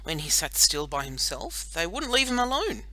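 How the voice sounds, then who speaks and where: A woman narrating a children's audiobook expressively, with dramatic pauses and drawn-out phrases. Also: on the low side, big pitch swings, bright, forceful tone, no room sound, rough recording.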